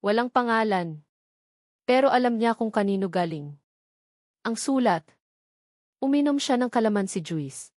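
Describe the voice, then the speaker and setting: A woman's voice: on the high side, expressive, normal speed, long pauses, darkish tone, no echo, clean studio sound. A woman reading a scripted romantic drama story as its narrator.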